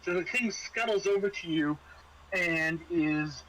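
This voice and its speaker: Halting, higher-voiced man as game master. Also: a bit bright. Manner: halting, stammering, searches for words. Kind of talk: improvised narration of a tabletop horror game. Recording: noisy room recording with clear background noise.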